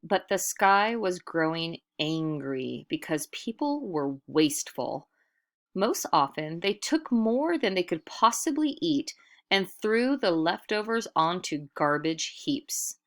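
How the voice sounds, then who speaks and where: A woman storyteller reading a picture book aloud in an expressive, dramatic way with deliberate emphasis. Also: on the low side.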